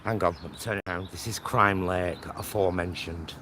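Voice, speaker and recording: A man's voice: deep voice, fairly even intonation, a little fast. A man chatting casually as he walks, vlogging and thinking aloud in short, rambling phrases. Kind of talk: casual chat while walking outdoors. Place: outdoors on a walk near motorway and lake. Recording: outdoor noise, traffic and ambience.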